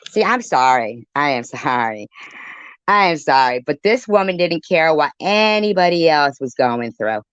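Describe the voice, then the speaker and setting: Animated, indignant commentator, dull lo-fi sound. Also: medium pitch, measured pace, smooth, no room sound. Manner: indignant, swearing, repeats phrases for emphasis. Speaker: commentator reacting to a played livestream clip. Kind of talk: off-the-cuff reaction commentary.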